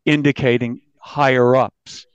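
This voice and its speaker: An American man with a medium-pitched voice recounts his reporting in conversation. He comes across as an interviewed investigative journalist. The sound is very dry and slightly dull. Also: fairly even intonation, unhurried, smooth, dry recording.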